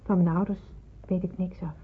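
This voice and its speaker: An actress playing a young refugee woman in hiding in a radio drama, soft, hesitant and earnest. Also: fairly low pitch, flat delivery, normal speed, slight background noise, natural voice. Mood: sorrowful, subdued. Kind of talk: Dutch radio drama acting. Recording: muffled, phone-like old radio recording.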